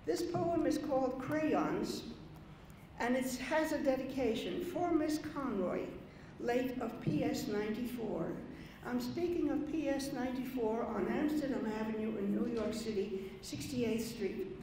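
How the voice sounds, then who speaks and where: An older woman from the Dominican Republic, speaking at a podium before a poetry reading, unhurried and anecdotal with small asides. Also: a little high, mellow. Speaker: poet giving a reading. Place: large conference hall, at a podium. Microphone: podium mic on a gooseneck stand. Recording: very echoey hall with faint noise.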